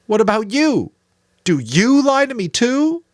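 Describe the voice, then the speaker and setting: A man's voice: very high-pitched, big pitch swings, unhurried, no echo, studio quality, voice pushed up, full character voice. A man voicing a distraught, bitterly defiant adolescent boy in a dramatic audiobook reading. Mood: anguished accusing. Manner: tearful, sarcastic, spitting out questions.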